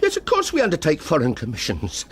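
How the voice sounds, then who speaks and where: A man's voice: a little high, huge pitch swings, fast talker, slightly rough, exaggerated voice. An actor playing a grandiose detective inspector who is down on his luck, pompous and wheedling, in a radio comedy drama. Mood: excited, self-important. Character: grandiose, broke art-fraud detective inspector boss.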